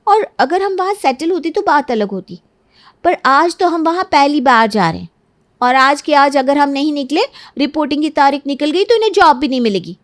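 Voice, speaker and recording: A woman playing a high-pitched, pert young wife. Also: expressive, normal speed. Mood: indignant. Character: self-centred young newlywed wife, breezy and cunning. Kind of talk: Hindi moral-story cartoon dialogue.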